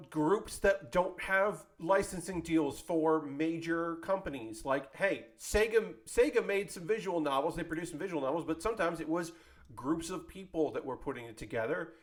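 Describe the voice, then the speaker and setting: A man hosting an anime club show, talking in a casual, unscripted, conversational way, rambling and correcting himself as he goes. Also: a little high. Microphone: close microphone.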